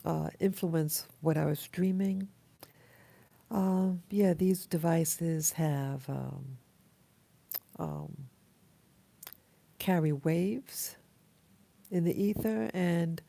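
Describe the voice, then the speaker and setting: A woman in her late fifties with an American accent, speaking haltingly and reflectively in a casual personal vlog, her voice hoarse from having just woken up. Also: fairly low pitch, mellow. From Queens, New York City, USA. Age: 50s, almost sixty. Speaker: vlogger. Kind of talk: casual personal dream journal.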